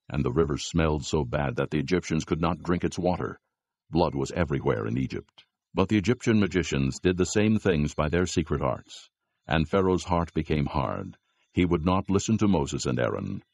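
Very deep, gravelly male narrator. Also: a little mellow. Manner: solemn, measured. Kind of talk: scripted narration of dramatized scripture.

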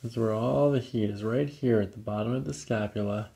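Soothing man with a creaky voice. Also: fairly low pitch, brisk, warm tone. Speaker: chiropractor. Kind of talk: calm clinical talk with patient during ASMR adjustment. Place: small carpeted office treatment room. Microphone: microphone on boom stand nearby.

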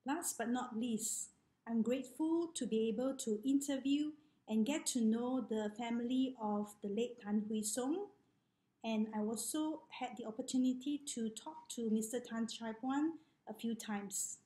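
A middle-aged woman from Malaysia, a researcher who reads her thesis presentation to a webcam in a measured, formal way. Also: a little high, warm tone. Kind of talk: scripted academic presentation. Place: indoor room, on webcam. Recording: echoey room on a laptop mic.

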